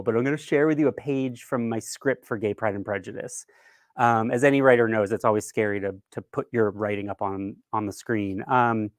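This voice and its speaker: Male presenter, mid-pitched, conversational and explanatory, slightly hesitant, close dry recording. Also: dry recording. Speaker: writer-director giving a keynote talk. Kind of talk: informal instructional presentation. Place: virtual event, speaking from a dry room.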